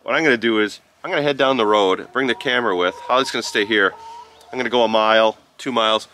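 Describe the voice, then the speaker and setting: Halting, bright-voiced man reviewing gear outdoors. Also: lowish voice. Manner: halting, informal, step-by-step explaining. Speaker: product reviewer and vlogger. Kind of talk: casual unscripted product review to camera. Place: outdoors on a rural farm. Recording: noisy outdoor farm ambience with chickens. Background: clucking chickens, outdoor ambience.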